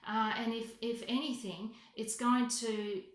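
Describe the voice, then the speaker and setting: A woman giving an unscripted psychic reading as a voicemail, halting and correcting herself as she goes, often repeating phrases for emphasis. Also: on the high side, fairly even intonation. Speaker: psychic reader. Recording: roomy, echoing voice recording.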